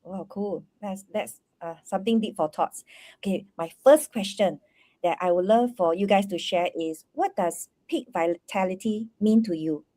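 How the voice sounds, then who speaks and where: A woman from Singapore hosting an online panel over a video call, bubbly and quick to laugh. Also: mid-range voice, mellow. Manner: bubbly, laughs between words. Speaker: panel host.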